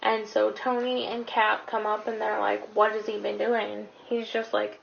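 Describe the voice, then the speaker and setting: Female guest reviewer, casual and conversational, gravelly fry, muffled lo-fi sound with faint noise. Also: medium pitch, little pitch movement, moderate pace. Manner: informal, conversational interjections. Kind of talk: casual chat. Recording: dull lo-fi audio with background noise.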